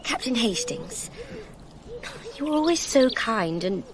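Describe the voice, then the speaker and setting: A sweet young Englishwoman with an English accent. Her voice is fairly high and very lively in pitch, girlish and expressive, in radio drama style. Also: takes it slowly. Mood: forlorn, confiding.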